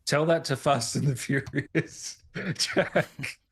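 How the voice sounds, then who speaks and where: A man's voice: on the high side, slow, slightly rough. A man hosting a casual gaming interview, speaking in an informal, blokey and agreeable way. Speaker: host, interviewer.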